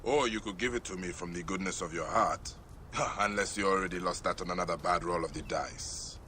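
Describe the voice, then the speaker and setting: An actor playing a weary, resolute warrior of ancient Egypt in a video game, grave, measured and dry. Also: male voice, low voice, pushed and bright, a little hiss or hum. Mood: dry, teasing. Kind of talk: video game cutscene acting.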